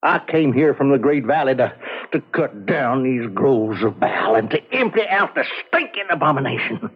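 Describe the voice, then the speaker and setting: An actor playing a fervent, righteous frontier preacher who declaims in archaic biblical phrases, in an old-time radio drama style. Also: male voice, medium pitch, sing-song, normal speed, breathy edge, muffled recording. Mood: solemn, zealous. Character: fervent male frontier preacher quoting scripture, righteous and unrepentant.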